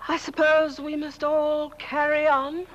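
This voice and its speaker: A woman playing a genteel, mournful lady of the house in an old comic film, speaking in slow, drawn-out phrases. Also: high voice, level tone. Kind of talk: 1970s comic film acting. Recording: muffled old film soundtrack, faint noise.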